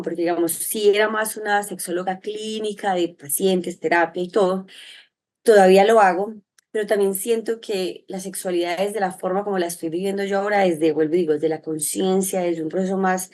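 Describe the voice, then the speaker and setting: Colombian woman from medellín chatting as an interview guest, expressive and kinda rambling, middle pitch. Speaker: interview guest, sexologist and psychologist. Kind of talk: conversational interview answers.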